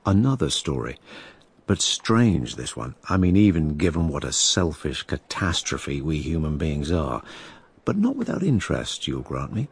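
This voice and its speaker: An actor playing a brooding, world-weary writer, musing in a halting, sardonic way as he narrates a radio drama about plague times. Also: huge pitch swings, full character voice. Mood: musing, uncertain. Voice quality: deep, gravelly, husky.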